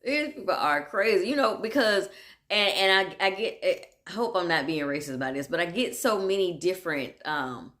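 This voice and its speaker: A woman chats in a loose, candid monologue. She laughs easily, drifts off on tangents and pulls herself back, and her voice sits deep for a woman. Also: lively intonation, normal speed, fairly bright tone. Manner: chatty and candid, laughs often, drifts off track. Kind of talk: casual monologue.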